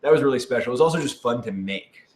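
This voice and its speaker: A man's voice: mid-range voice, expressive, fast. A man chatting casually and playfully as a cast member and writer on a panel. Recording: echoey room with background noise.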